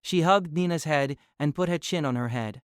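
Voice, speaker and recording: A woman's voice: sits low, moderate pace, clean tone, no echo, studio quality. A woman narrating a scripted novel as a dramatic storyteller.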